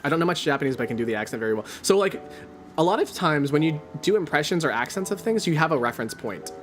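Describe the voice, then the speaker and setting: A man from Canada with a General American accent, chatting on his stream in a rambling way full of "like". His voice sits a little high and swings lively up and down. Faint music plays behind him. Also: fast talker. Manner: rambling, heavy use of "like". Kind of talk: casual stream chat.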